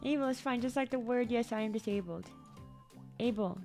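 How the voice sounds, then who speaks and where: A young woman chatting casually on a livestream. She rambles, repeats and stumbles over words, and her voice sometimes jumps suddenly into high squeaks. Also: a little fast, mellow. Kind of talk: casual stream chat. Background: faint background music.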